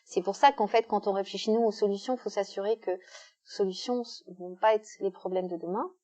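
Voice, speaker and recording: A woman's voice: medium pitch, fairly even intonation, brisk, mellow. A woman giving an explanatory talk as a guest speaker, walking through everyday examples with frequent hesitations. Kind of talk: explanatory talk at a club meeting.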